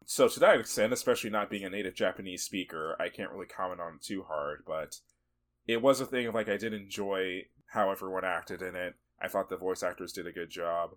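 A man's voice: sits low, brisk. A man co-hosting a podcast, chatting casually about a film, rambling a little, laughing often and swearing for emphasis. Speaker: podcast co-host. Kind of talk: casual movie review chat.

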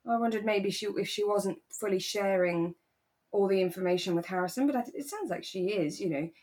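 A woman's voice: medium pitch, brisk. A woman, chatting casually and conversationally as a podcast co-host. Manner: relaxed, conversational. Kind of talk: casual chat about a radio drama.

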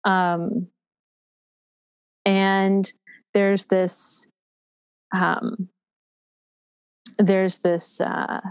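A woman in her thirties telling her own story candidly, reflective and halting, with frequent fillers and restarts and a frank, self-deprecating edge. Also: medium pitch, little pitch movement, smooth, no echo. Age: 30s.

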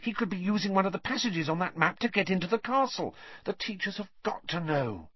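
A man's voice: big pitch swings, fairly quick, slightly rough, lo-fi sound, full character voice. A man voicing an anxious, rule-minded teenage schoolgirl in a storybook reading, in a fretful, pleading manner. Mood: insistent. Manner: fretful, pleading, high and breathless.

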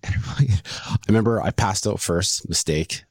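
A middle-aged man, a company co-founder, telling stories and pitching his plans with animated enthusiasm on a podcast. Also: unhurried, no room sound, clean studio sound. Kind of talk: casual podcast chat.

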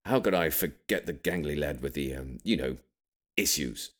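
A man's voice: rumbling low, lively intonation, moderate pace, fairly bright tone, full character voice. A man voicing a genial, easygoing old grandfather in an audiobook, chatty and mildly teasing. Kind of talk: audiobook character voice.

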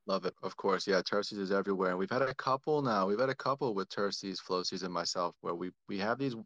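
A man's voice: deep voice, a little fast, a bit bright, dull audio. A man hosting a casual online audio chat, upbeat and chatty, with plenty of fillers and restarts. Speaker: host.

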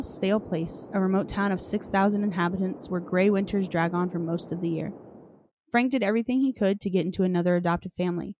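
A woman's voice: mid-range voice, darkish tone. A woman hosting a podcast, moving between dramatic story reading and casual, playful chat. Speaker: podcast host. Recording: clearly noisy recording with clicks.

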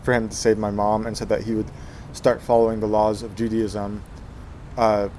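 A man in his twenties from Texas, telling his life story in an interview, halting with many fillers and restarts. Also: fairly low pitch, level tone, dark tone, a little hiss or hum. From Texas, USA. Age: 20s. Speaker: guest, Zen monk.

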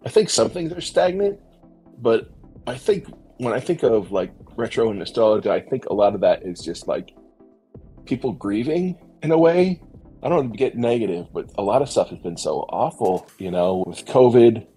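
A man's voice: mid-range voice, animated, moderate pace, breathy edge. A middle-aged man talking in a thoughtful, tentative way as a panelist in a casual, reflective discussion. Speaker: podcast panelist. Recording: faint music underneath. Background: soft background music.

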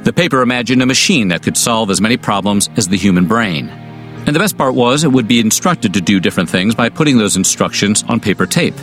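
A man's voice: fairly low pitch, expressive, brisk, a bit bright, soft background music. A man reading scripted history documentary narration with a casual, wry turn of phrase.

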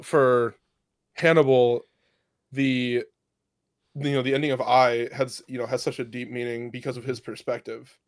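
Rambling, reflective young man. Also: mid-range voice. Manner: rambling, reflective, many fillers. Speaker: podcast co-host. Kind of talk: casual chat.

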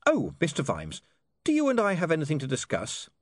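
Man playing a high, cheeky young newspaperman. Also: wildly swooping pitch, a little fast, no room sound, studio quality. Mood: cheerful. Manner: polite, bright, pointed questioning.

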